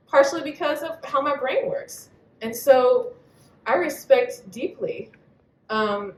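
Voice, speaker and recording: A woman's voice: a little high, distant mic. A woman on a live panel tells personal stories bluntly, swearing freely. She sounds set back from the mic in an echoey hall, with faint background noise. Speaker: panelist poet. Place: venue hall at a live reading.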